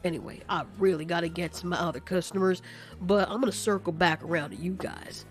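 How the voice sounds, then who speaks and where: A man voicing a calm, confiding male monster who runs a restaurant, casual and measured, in an amateur audio story. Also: high-pitched, soft background music, lightly acted. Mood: thoughtful, friendly.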